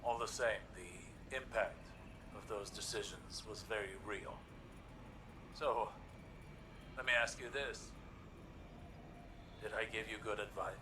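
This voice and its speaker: A man playing a resolute older mentor in a video game, speaking formally and earnestly in a measured way. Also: on the low side, fairly even intonation, noisy background. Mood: reflective, earnest. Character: grave, resolute older male mentor and scholar. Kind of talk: video game cutscene acting. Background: background music.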